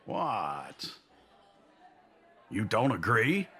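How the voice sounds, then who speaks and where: A man voicing an earnest, quietly determined older newspaperman in a comic dub, husky and measured. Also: fairly low pitch, huge pitch swings, exaggerated voice. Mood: questioning.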